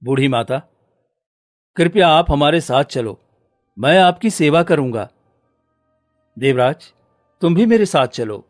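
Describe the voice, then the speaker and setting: Man acting a higher-voiced courteous storybook king. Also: animated, takes it slowly, pauses often, no echo, studio quality, soft background music. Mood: gracious. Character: kind, courteous king of a fairy tale.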